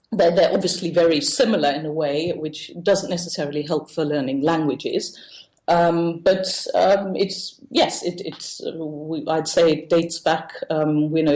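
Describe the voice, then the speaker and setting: Deep voiced woman, expert being interviewed, hesitant informal explaining. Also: darkish tone, low-quality recording. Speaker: institute deputy director, interviewed expert.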